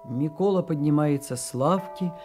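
A man's voice: a little high, moderate pace, a little mellow. A man reading scripted stage directions as the narrator of a radio play. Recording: music behind the voice.

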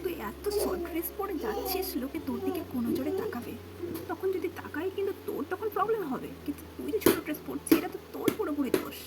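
A woman's voice: very high voice, expressive, fast talker, very noisy, voice pushed up. A woman voicing a modest, caring young woman doll who chats and admonishes in a children's puppet play, with music over her. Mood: earnest scolding.

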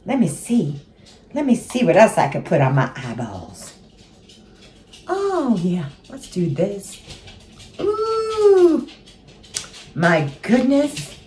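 A woman's voice: mid-range voice, huge pitch swings, slow pace, pauses often, darkish tone, slight background noise. A woman playing a chatty, giddy housewife and mother of seven who gushes and talks to herself while putting on makeup. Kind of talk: comic character monologue.

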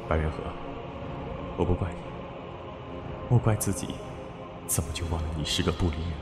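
Man acting brooding outcast warrior, very deep voice, grave, bitter, costume radio drama, echoing hall. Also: fairly quick, close to an everyday voice. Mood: bitter hurt. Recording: echoey hall reverb, music behind.

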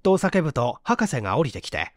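Male audiobook narrator for mystery fiction, animated dramatic read, dry studio-like sound. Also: a little high, fairly quick, no room sound.

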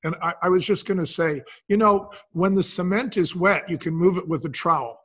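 Male business founder as podcast guest, husky, fairly high-pitched, explanatory and conversational. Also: brisk, fairly bright tone. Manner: explanatory, acts out imagined quotes. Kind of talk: conversational interview talk.

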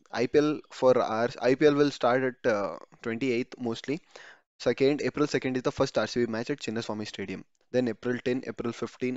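A man's voice: medium pitch, darkish tone, no echo. Man narrating a screen walkthrough, dry and dull. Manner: conversational, repeats words, self-corrects mid-word. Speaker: YouTube tutorial host. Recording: dry, slightly dull home voice-over.

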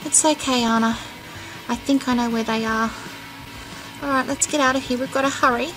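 A woman voicing a brave, protective queen who is a mother, calm and reassuring. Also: high-pitched, moderate pace, over music, voice pushed down, close to an everyday voice, rough recording.

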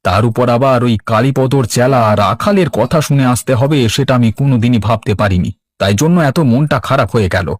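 A man's voice: medium pitch, fairly quick, no room sound, lightly acted. A man voicing a dejected but patient village fisherman in a cartoon, with a plaintive, complaining manner. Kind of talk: Bengali cartoon voice acting.

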